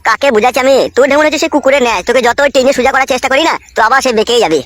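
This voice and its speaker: Cartoon casting: aggrieved, foul-mouthed young husband played by a woman, very high pitch, rapid-fire rants. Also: fairly bright tone, dry recording. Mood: angry, threatening. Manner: ranting, scolding, coarse village speech. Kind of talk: comic cartoon dialogue.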